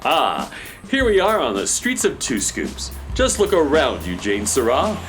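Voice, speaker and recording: A man's voice: mid-range voice, wildly swooping pitch, a little slow, fairly bright tone, faint music behind. A man playing a cheerful, patient space handyman in a children's audio drama, earnest and a little bumbling. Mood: cheerful, busy. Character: cheerful, patient space handyman, male boss and problem-solver.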